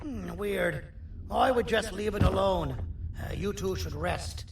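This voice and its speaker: A man voicing an eccentric, boastful monster doctor in a video game, talkative and theatrical with a gravelly voice. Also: sits high, a little slow. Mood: uneasy, cautious. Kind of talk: video game cutscene acting. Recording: roomy, distant, noisy game audio.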